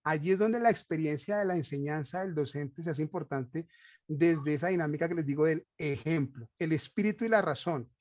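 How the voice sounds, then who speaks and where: A man's voice: on the high side, fairly quick, a little mellow. A man giving a reflective, didactic lecture as a panel speaker, asking rhetorical questions and repeating key phrases. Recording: roomy echo, dull lo-fi sound.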